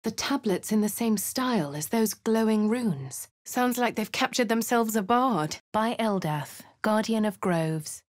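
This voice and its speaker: A woman with a posh southern English accent playing a wry, composed female adventurer in a fantasy game, narrating in a crisp, clipped way with dry asides. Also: mid-range voice, lively intonation, measured pace, few pauses, no room sound, lightly acted. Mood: measured. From Baldur's Gate. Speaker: player character. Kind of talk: video game voice lines.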